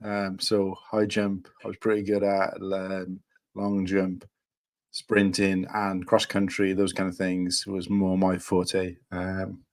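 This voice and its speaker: A man's voice: deep voice, monotone, slow. A man in his thirties with a Cardiff-area Welsh accent, chatting casually as a podcast guest, often hesitating and restarting. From Wales. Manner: hesitant, many ums and restarts. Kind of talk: casual chat.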